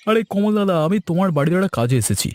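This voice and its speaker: A man's voice: sits high, animated, very fast, a little mellow, no room sound. A man voicing an eager, talkative younger village man in a cartoon, with a pleading manner. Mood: friendly, eager. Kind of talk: cartoon dialogue acting.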